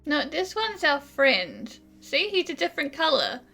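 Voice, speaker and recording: A woman's voice: high voice, huge pitch swings, measured pace, creaky, over music. A woman giving casual, conversational game playthrough commentary, chatting along with the characters. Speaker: gaming video creator.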